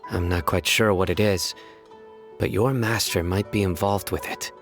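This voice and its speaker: A wary, serious official from a video game, pitched on the low side. He speaks formally and with measured gravity. Prominent music plays behind the voice. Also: steady tone. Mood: grave, guarded. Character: stoic, wary male official and investigator. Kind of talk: scripted video game voice acting.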